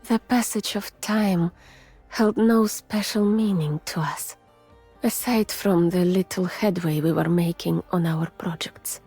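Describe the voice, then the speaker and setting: Slow breathy russian lady scientist, gentle and weary, game character, very close and whispery, music behind. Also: lowish voice, fairly even intonation. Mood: calm, reflective. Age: adult, mature. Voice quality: soft, breathy, often near a whisper. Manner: measured, formal, restrained. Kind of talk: soft, intimate ASMR-like game voice acting.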